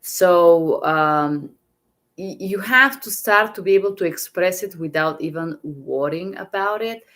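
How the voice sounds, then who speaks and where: Slow, low-voiced woman with an accent. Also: fairly bright tone. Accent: Bulgarian. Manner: halting, repeats words, restarts often. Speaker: medical interpreter trainer. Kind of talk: casual live-stream teaching.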